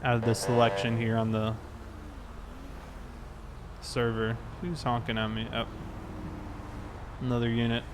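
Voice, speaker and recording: A man's voice: medium pitch, little pitch movement, a little slow, long pauses. An American man hosts a game livestream in an easygoing, conversational manner. He chats casually as he plays, with some background vehicle noise behind his voice. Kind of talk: casual livestream chat while playing.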